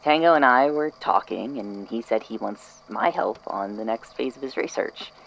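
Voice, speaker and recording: Audio drama role, acted: tentative, earnest workmate, noticeably creaky voice, halting delivery, faint noise. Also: medium pitch, expressive, fairly bright tone, highs cut off. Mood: apologetic, nervous. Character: earnest, tentative coworker, gentle and loyal. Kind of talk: fan audio drama acting.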